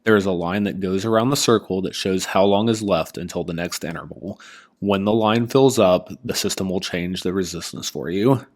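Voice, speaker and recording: Low-voiced man narrating a product review. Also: moderate pace.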